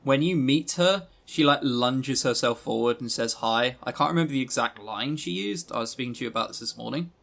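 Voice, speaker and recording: A man's voice: mid-range voice, brisk, vocal fry, few pauses, a bit bright. A man co-hosting a podcast, giving blunt, opinionated, sweary reviews in a casual chatty way. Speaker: podcast co-host.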